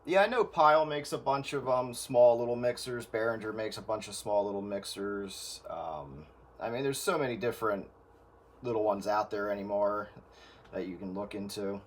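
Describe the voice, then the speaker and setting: Lower-voiced man explaining gear informally. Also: normal speed. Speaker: audio gear hobbyist giving advice. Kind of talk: casual technical chat over radio.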